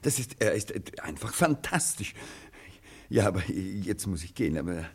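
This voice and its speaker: A bashful policeman in a radio play. His voice is fairly low and husky, and he speaks in a stammering, excitable way, apologetic and easily flustered. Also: normal speed, exaggerated voice. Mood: gushing, excited. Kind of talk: German radio drama acting.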